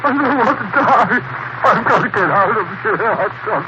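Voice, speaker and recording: A man's voice: high-pitched, animated, moderate pace, highs cut off. A man acting in a 1940s radio drama as a hot-tempered, tormented husband turned criminal, with a volatile, overwrought delivery. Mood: desperate, fearful. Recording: noisy vintage radio broadcast recording.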